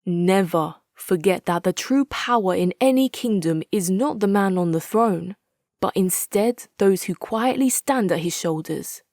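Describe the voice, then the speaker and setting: A hard-nosed woman commander for a strategy video game. She speaks in measured, authoritative phrases with a wry edge and a middle pitch. The sound is dry and close, free of room echo. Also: animated, darkish tone. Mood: reflective. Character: hard-nosed, articulate female military commander, dry and resolute. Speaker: video game faction leader. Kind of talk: dramatic scripted game quotes.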